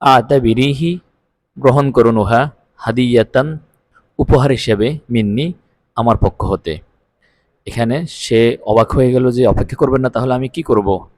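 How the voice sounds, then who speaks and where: A man's voice: medium pitch, measured pace, long pauses, a little mellow, dry recording. A man teaching Arabic, explaining phrase by phrase with word-by-word glosses. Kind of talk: phrase-by-phrase translation lesson.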